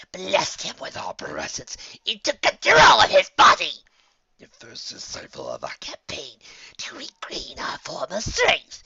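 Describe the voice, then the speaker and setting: A narrator voicing an ancient, condescending male monster overlord in a slow, breathy, rasping whisper for an audio story. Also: medium pitch, sharp tone, dry recording, lo-fi sound, pitched above the performer's own voice, heavily acted. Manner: slow, drawn-out, grandiose royal we.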